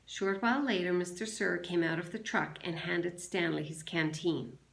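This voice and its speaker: Woman teacher doing a read-aloud, kinda low creaky voice, roomy sound. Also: moderate pace. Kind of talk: classroom read-aloud of a children's novel.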